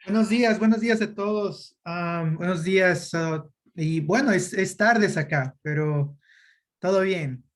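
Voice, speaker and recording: A man with a Mexican accent speaks in a fairly high voice. He answers as an academic guest in an interview, conversational in style. He often hesitates as he searches for words. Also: unhurried. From Oaxaca, Mexico. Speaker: guest, university professor and researcher.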